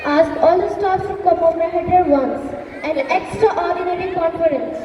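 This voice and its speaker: A woman's voice: very high-pitched, normal speed, muffled recording, crowd noise. A woman acting in an amateur stage play as a flustered, indignant, exclamatory school principal. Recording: echoey hall, far mic, noisy audience.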